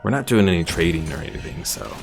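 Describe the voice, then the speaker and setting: A man with a low, fry-laden voice streams a game. He comments casually and thinks aloud about each move, with faint music underneath. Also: expressive, fast talker. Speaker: gaming streamer.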